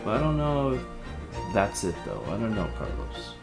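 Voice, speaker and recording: A man playing an apologetic, evasive helpline listener in an audio drama, speaking in a hesitant, halting, conciliatory way. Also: on the low side, very animated, gravelly, over music. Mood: doubtful, conciliatory. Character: Theo, apologetic, evasive male helpline listener with a troubled marriage. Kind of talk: audio drama, naturalistic phone conversation.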